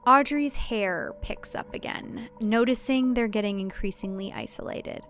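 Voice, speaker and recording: A woman's voice: a little high, unhurried, a bit bright. A woman who is the author, reading her audio drama as scripted narration with a wry storytelling delivery.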